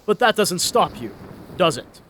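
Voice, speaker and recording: A man voicing a young one-eyed giant in an audio story, booming, mocking and menacing. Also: sing-song, a little slow, fairly bright tone, a little hiss or hum, exaggerated voice.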